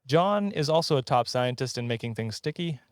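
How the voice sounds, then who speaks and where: Male comedy podcast host. Informal storyteller, amused and jokey, mid-pitched voice, tight dry sound. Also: animated, brisk, dry recording.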